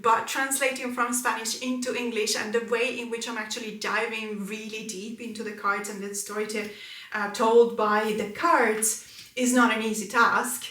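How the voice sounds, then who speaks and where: Bright-voiced woman. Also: a little high, level tone, normal speed, reverberant, recorded from a distance. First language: Italian. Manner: earnest, many fillers and restarts. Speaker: tarot channel host. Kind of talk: casual haul vlog.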